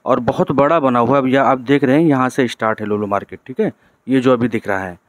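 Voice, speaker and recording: A man's voice: mid-range voice, fast talker, dry recording. Chatty man narrating a road trip. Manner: chatty, repeats "theek hai" as a tag. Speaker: travel vlogger. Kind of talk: casual vlog narration.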